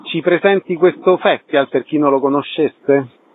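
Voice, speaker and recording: A man's voice: on the high side, moderate pace, slight background noise. A man hosting a radio interview, presenting guests and asking questions. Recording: slightly dull, lo-fi sound.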